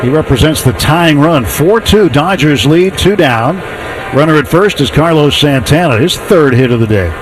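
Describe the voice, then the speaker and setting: A man with an American accent doing live radio play-by-play of a baseball game in measured, descriptive calls. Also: on the high side, lively intonation, music in the background. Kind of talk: live radio sports commentary.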